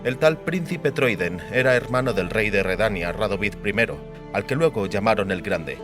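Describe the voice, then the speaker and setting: A man reading a fantasy audiobook as narrator, in a measured, literary storytelling manner. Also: on the low side, animated. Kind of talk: dramatized scripted fantasy narration. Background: faint music.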